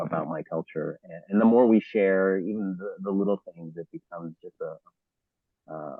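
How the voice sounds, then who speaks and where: A man from the Los Angeles area speaking on a panel, halting with many fillers and restarts. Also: low voice, breathy edge, dry recording. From Los Angeles area, United States. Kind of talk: panel discussion. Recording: thin phone-like audio.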